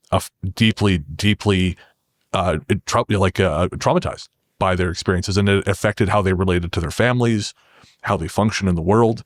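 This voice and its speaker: Lowish-voiced, reflective Canadian man. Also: no echo. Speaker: podcast guest, horror fiction creator. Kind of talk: conversational interview talk.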